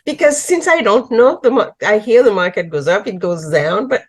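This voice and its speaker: An older woman from New York calling into a video chat, speaking slowly and gently and searching for words. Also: medium pitch, expressive, no echo. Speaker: caller. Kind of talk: casual video call chat.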